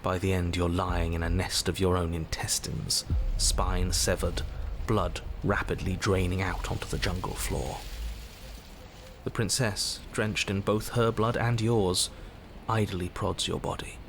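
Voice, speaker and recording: A man playing the grave narrator of a horror game, telling events in measured, dramatic, ominous prose. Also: low voice, fairly even intonation, a little hiss or hum. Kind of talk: dramatic scripted second-person narration.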